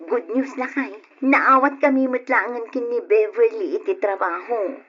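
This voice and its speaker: A woman's voice: on the high side, moderate pace, a bit bright, breathy edge. A woman with an Ilocano accent playing a cheerful, chatty young working wife in a radio drama. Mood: warm, concerned. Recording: dull lo-fi old radio recording.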